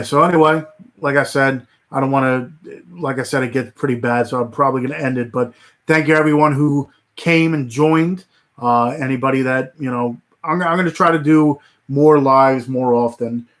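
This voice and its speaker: A man hosting a casual livestream, rambling with many fillers and restarts, his voice starting to go hoarse. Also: mid-range voice, fairly even intonation, normal speed, fairly bright tone. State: voice getting hoarse. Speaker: livestream host.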